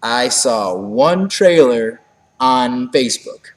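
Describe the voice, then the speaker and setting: A man's voice: mid-range voice, slow, big-room sound. A man co-hosting a casual fight-sports talk show, rambling and then ranting with emphasis. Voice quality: monotone at times. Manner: rambling, rising to emphatic rants. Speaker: podcast co-host.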